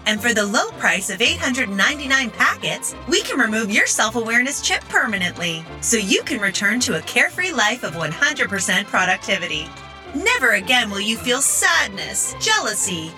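An actress playing a polished, soothing saleswoman for robots in a parody commercial voice-over. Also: a little high. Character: chirpy, soothing saleswoman in a dystopian robot advert.

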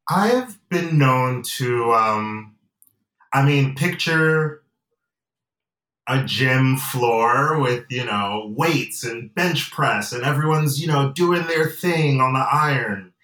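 Young guy, bright voice, kinda far off in an echoey room, lots of ums. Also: medium pitch, animated, takes it slowly. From United States and Nigeria. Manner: reflective, hesitant, many fillers. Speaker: guest. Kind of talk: casual interview. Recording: roomy and off-mic.

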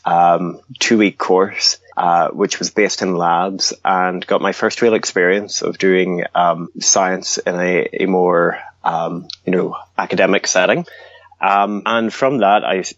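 A man in his thirties with a very low voice answers an interviewer's questions, pausing often with ums and starting sentences over. The sound is dry and slightly muffled. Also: dry recording. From Northern Ireland. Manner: hesitant, many ums and restarts. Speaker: guest scientist.

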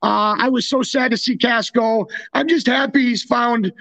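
High-pitched, creaky North American man. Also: steady tone, normal speed, a bit bright. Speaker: podcast co-host and former hockey player. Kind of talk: casual sports chat.

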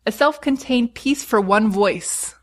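A woman's voice: fairly high pitch, slow pace, noisy background. Chatty woman running a word quiz. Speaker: quiz host. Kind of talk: casual quiz podcast chat.

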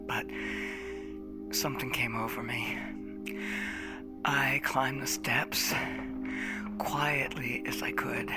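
An actor playing a lonely, haunted man who halts and breathes his way through an audio drama confession, mourning a ghostly presence. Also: mid-range voice. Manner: halting, hushed storytelling with breathy pauses. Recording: music bed under the voice.

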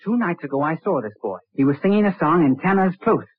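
An earnest young medical student in a vintage radio drama. His voice is fairly high and a little husky, respectful yet insistent. The recording is tinny and narrow. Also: big pitch swings, quick pace, no echo. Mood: anxious, insistent. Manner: polite, hesitant but insistent. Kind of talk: old radio drama acting.

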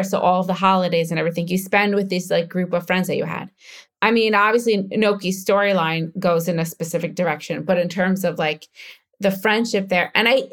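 An American woman who created and stars in a show, answering interview questions in a candid, chatty way with many filler words. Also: mid-range voice. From United States. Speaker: show creator and lead actor.

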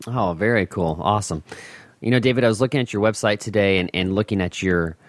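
A man with a very low voice talks as a podcast host in a casual interview manner. The sound is dry and close, with little room around it. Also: fast, dry recording.

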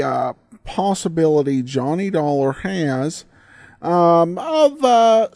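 A man's voice: high voice, a little mellow, dry recording. A man hosting a podcast, giving commentary and sponsor reads into a close mic. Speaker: podcast host.

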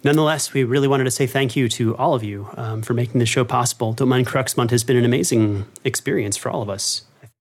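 A man's voice: medium pitch, fast. A man hosting a casual interview as show creator, polite and appreciative.

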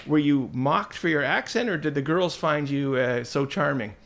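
A man's voice: a little high, animated, normal speed, fairly bright tone. A man hosting a podcast interview, asking friendly prepared questions. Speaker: podcast host. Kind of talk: interview host.

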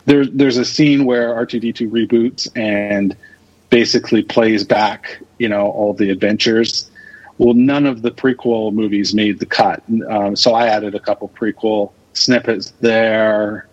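A man giving casual interview answers as a podcast guest, thinking aloud in halting fragments. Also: fairly low pitch, steady tone. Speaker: podcast guest, radio drama creator and producer. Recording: dull lo-fi audio.

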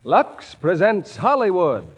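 A man's voice: high-pitched, sing-song, takes it slowly, husky. A man announcing a 1940s radio show in a grand, declamatory manner. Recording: vintage radio, loud brass music behind.

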